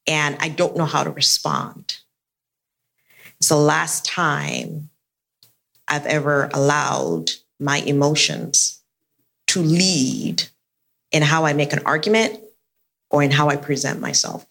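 A woman from Nigeria speaks in a low register, sharing formative stories on a panel. She is self-assured and emphatic, placing her phrases deliberately. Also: creaky, fairly bright tone. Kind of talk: personal storytelling on a panel.

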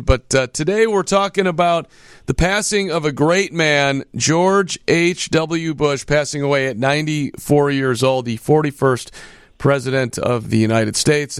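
Smooth, higher-voiced male radio host. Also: no echo, studio quality. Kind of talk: conversational radio interviewing.

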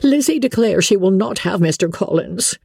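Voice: medium pitch, lively intonation, moderate pace, creaky, darkish tone, slightly rough, no room sound, studio quality, pitched above the performer's own voice. A reader voicing a flustered, fretful middle-aged mother in an agitated, emphatic way, as in an audiobook. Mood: frantic, agitated.

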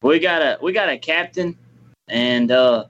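A man's voice: fairly high pitch, unhurried, fairly bright tone. Casual, polite young man, twenties, slightly dull sound with faint hiss. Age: 20s. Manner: laid-back, restarts phrases, polite "yes, sir". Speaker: guest, young business owner. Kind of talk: casual interview answers.

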